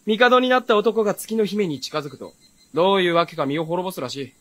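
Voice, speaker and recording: An actor playing a brash young retainer with breezy, cheeky, rough speech in a period fantasy radio drama. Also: male voice, sits high, fast, a bit bright. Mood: knowing, amused.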